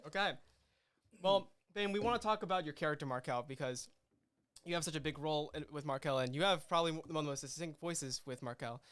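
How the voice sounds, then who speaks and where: Higher-voiced man hosting a casual chat. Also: fast, fairly bright tone, smooth. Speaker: host and game master. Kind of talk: casual interview on a livestream.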